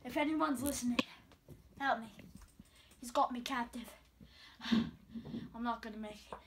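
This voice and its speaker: A woman's voice: on the high side, unhurried. A young woman co-hosting a playfully melodramatic, improvised mock-horror skit on a handheld camera. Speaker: vlog co-host. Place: dim carpeted home basement. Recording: noisy handheld camera in basement.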